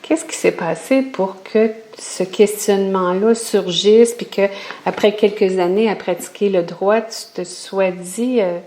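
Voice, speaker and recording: A woman with a Québécois accent hosting an interview, chatty and halting with many fillers. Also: medium pitch, dark tone. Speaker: host.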